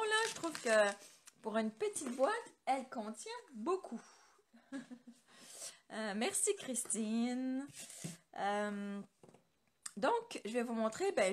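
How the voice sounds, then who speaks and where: A woman's voice: sits high, slight background noise. A woman from France hosting a live craft tutorial from her home studio, chatting loosely and greeting viewers by name. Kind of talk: casual live tutorial chat. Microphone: computer camera mic.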